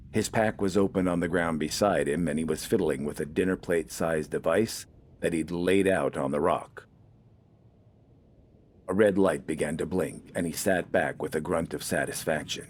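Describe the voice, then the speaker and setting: A man's voice: rumbling low, a little hiss or hum. A man reading scripted fiction as a story narrator.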